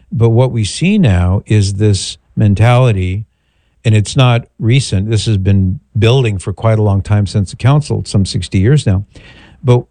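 An older man hosting a call-in radio show, talking in a measured, reflective, pastoral way. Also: fairly low pitch, dark tone, no room sound. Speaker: radio talk show host.

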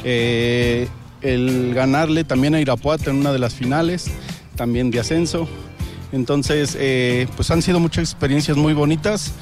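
A man's voice: medium pitch, moderate pace. Mexican man interviewed over music. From Querétaro, Mexico. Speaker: football fan being interviewed. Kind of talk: casual interview answers.

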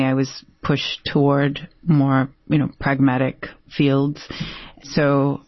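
A Brazilian-born woman poet answering interview questions thoughtfully, with many pauses and fillers. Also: extremely low pitch, husky, no room sound. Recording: dull, dry lo-fi sound.

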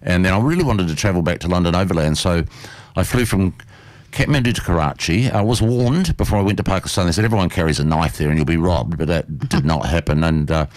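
A man's voice: low voice, fast talker, a little mellow, no room sound. A man, hosting a casual chat and rambling through stories with asides. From New Zealand. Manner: rambling, chatty, full of asides. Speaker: host.

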